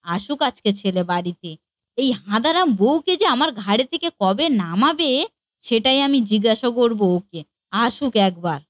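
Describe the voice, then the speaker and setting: A high-pitched, exasperated mother-in-law acted by a woman in a comic cartoon. She is middle-aged and given to scolding, and the recording is close and dry. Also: expressive, normal speed, dry recording, lightly acted.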